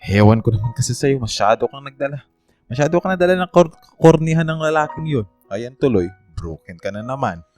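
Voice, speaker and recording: A man's voice: medium pitch, expressive, fairly quick, a little mellow, soft background music, natural voice. A man playing a blunt, teasing young online friend who sulks playfully in a radio drama. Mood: sulky, scolding.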